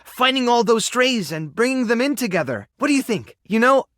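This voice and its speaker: A male actor in a dating video game playing an earnest, tender, awkward keeper of an animal rescue, sincere and prone to halting rambles. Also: on the high side, sing-song, no echo, clean studio sound. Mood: earnest, hopeful. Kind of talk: video game character voice acting, dating simulator.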